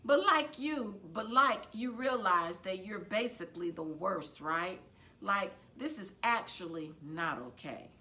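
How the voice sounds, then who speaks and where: A woman's voice: medium pitch, expressive, unhurried, bright, forceful tone. A woman voicing a sullen, sarcastic, argumentative teenage girl in a storybook reading, snippy and confrontational. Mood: indignant, sarcastic.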